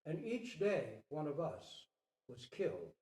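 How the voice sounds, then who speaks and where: A man giving a dramatic storybook reading in a theatrical, deliberate manner. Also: fairly high pitch, big pitch swings, dark tone. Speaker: storyteller, Zen teacher. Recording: roomy, distant microphone.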